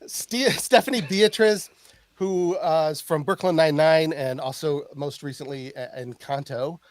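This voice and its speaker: Podcast guy rambling w lots of ums, kinda high voice, dry close mic. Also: a little slow, no room sound. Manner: rambling, filler-heavy. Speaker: podcast host. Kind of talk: casual chat.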